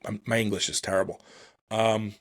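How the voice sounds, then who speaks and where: A man hosting a solo podcast, casually commenting on motorsport in a halting, think-aloud, self-deprecating way. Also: lowish voice, flat delivery, fairly bright tone, no room sound, studio quality. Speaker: podcast host.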